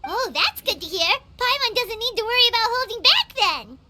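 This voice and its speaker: A woman voicing a tiny, childlike, excitable fairy companion in a video game, with a squeaky, chirpy delivery. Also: fairly quick, slight background noise, full character voice. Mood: eager, delighted. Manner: speaks of herself in third person, chirpy. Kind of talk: video game character acting. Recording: game music behind the voice. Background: game music.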